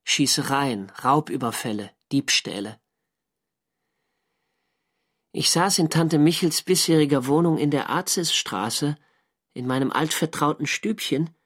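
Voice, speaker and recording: An actor playing a reflective naval lieutenant, narrating a war diary in a measured, sober literary style. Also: male voice, medium pitch, fairly bright tone. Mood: melancholy, sober. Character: reflective German naval lieutenant recalling the war's end.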